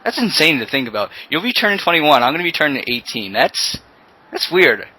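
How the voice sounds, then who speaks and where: A man's voice: a little high, big pitch swings, brisk, sharp tone, husky, poor audio. A seventeen-year-old man hosting a casual podcast chat, often repeating words and restarting as he talks. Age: teens. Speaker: podcast host.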